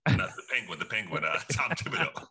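A man's voice: on the low side, very animated, quick pace, pushed and bright, breathy edge, no room sound. A man co-hosting a podcast, teasing the others and stammering through restarts in casual sports talk. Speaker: podcast co-host.

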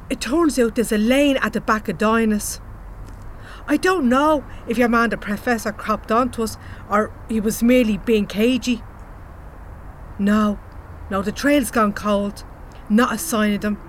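An actress playing a brisk, down-to-earth Irish woman who leads a misfit gang in a comedy audio drama. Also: on the high side, a little hiss or hum, lightly acted. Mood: matter-of-fact. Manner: bossy, down-to-earth, chatty.

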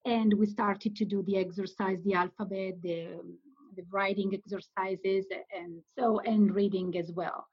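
Woman, Italian accent, breathy voice, hesitant, muffled lo-fi audio. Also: medium pitch, steady tone, measured pace. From Italy. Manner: hesitant, many fillers and restarts. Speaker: guest, Italian professor. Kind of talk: podcast interview, answering questions.

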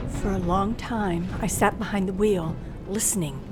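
A woman's voice: mid-range voice, normal speed, natural voice. An actress playing a nervous, frightened young woman driver who tells her ordeal in a breathless, suspenseful old radio thriller style. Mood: tense, hushed. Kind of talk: old-time radio thriller acting and first-person narration. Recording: vintage radio drama with music underneath.

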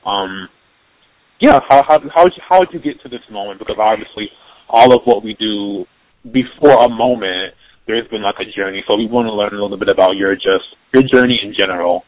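A man's voice: fairly low pitch, a little fast, poor audio. A man hosting a podcast interview, rambling casually with lots of fillers and restarts. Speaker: podcast host.

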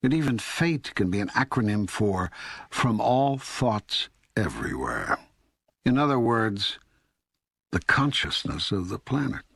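A man playing God in an audiobook dialogue, speaking as a patient, all-knowing deity in a measured, authoritative, teaching way. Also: fairly low pitch, sing-song, a bit bright, slightly rough, no room sound, clean studio sound, full character voice. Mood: matter-of-fact. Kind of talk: scripted audiobook dialogue reading.

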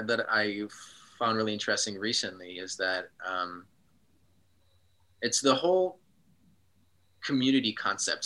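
A man from Switzerland, a guest in a casual interview, speaking reflectively with frequent pauses and ums. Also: fairly low pitch, sharp tone.

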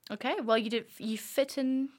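A young woman co-hosts a podcast in a somewhat high voice. She talks in a relaxed, loose way full of fillers. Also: moderate pace. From France. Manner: relaxed, filler-heavy, giggly asides. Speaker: podcast co-host. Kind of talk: casual chat.

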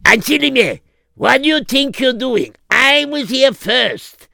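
A man doing an indignant, sharp-voiced queue quarreller. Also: very high voice, expressive, slow, vocal fry, no room sound, studio quality, voice pushed up, exaggerated voice. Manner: scolding, argumentative. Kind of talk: acted dialogue in a comic story.

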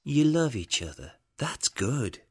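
An actor playing a solemn, dry-spoken exorcist priest in an audio drama, husky, measured, formal and reserved. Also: male voice, mid-range voice, big pitch swings, exaggerated voice. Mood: probing, calm.

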